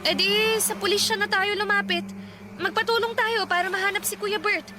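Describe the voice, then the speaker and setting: A woman's voice: extremely high pitch, steady tone, a little fast, music in the background. A woman playing an earnest, determined young granddaughter in a Tagalog radio drama, with an emotional, pleading delivery. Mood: alarmed, reproachful.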